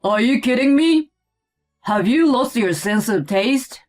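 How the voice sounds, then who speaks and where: A woman's voice: on the high side, expressive, unhurried, soft background music. Story role: grumbling, indignant male picky eater, female performer, whiny delivery. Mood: disgusted. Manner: indignant, complaining. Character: grumpy, whiny grown man, a picky meat-loving eater. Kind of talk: acted story dialogue.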